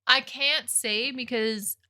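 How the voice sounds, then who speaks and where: A woman in her thirties with a bright, forceful voice, chatting on a podcast mic. She is blunt and teasing, and she swears casually as she goes. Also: on the high side, fairly even intonation, takes it slowly. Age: 30s. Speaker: podcast co-host. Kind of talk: casual chat. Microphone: podcast microphone.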